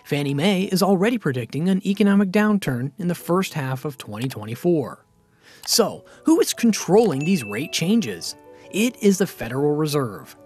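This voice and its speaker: A man reading a scripted finance explainer narration in an even, steady, explanatory way. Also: on the high side.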